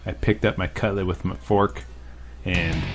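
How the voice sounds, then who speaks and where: A man reading a visual novel aloud as a let's play host, casual and profane, now and then bursting into shouts. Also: low voice, steady tone, a little slow, faint music behind.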